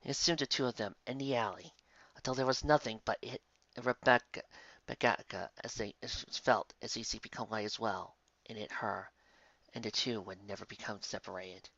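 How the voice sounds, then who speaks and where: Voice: medium pitch, normal speed, a bit bright, dry recording, lo-fi sound. A narrator reading fan fiction aloud in a dramatic, emotive way. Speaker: story narrator.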